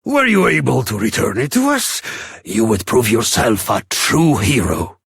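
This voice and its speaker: A man's voice: medium pitch, very animated, unhurried, vocal fry, fairly bright tone, husky, no echo, clean studio sound, exaggerated voice. A man playing a pompous, exuberant king in a fantasy video game, with grandiose theatrical delivery. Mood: courtly, earnest.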